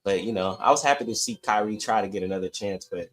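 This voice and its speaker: A man's voice: lowish voice, quick pace. A man co-hosting a sports podcast, chatting casually about games and players.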